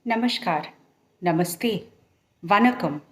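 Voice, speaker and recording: A woman with a mid-range voice gives an unhurried, formal introduction. She delivers each phrase in a steady, measured way. The pace is slow throughout. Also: mid-range voice. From India. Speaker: dancer. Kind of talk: spoken-word introduction.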